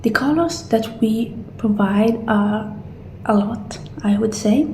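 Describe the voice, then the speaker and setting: A woman doing a soft, partly whispered ASMR roleplay as a gentle attendant walking a client through options. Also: a little high, takes it slowly. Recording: roomy echo, faint background noise.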